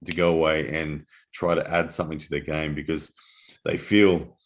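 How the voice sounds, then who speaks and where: A man commentating live on an arm-wrestling match, analytical and full of restarts, his voice going hoarse in the early morning. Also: very deep voice, level tone, moderate pace. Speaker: armwrestling commentator.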